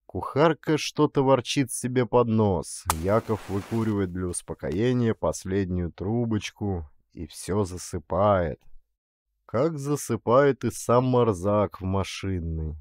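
A man narrating a literary story as an audiobook, with expressive, suspenseful delivery. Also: lowish voice, slow, no room sound.

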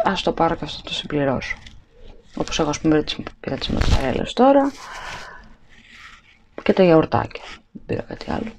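A woman's voice: on the low side, darkish tone. A woman in her thirties chatting casually to the camera in her home kitchen at night, rambling with plenty of fillers and restarts. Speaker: vlogger. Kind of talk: casual haul vlog chat.

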